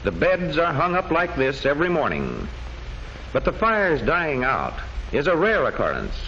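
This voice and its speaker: A man narrating an old educational history film from a script, in measured, declamatory storytelling phrases. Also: on the high side, huge pitch swings, fairly bright tone. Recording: dull old film soundtrack with faint noise.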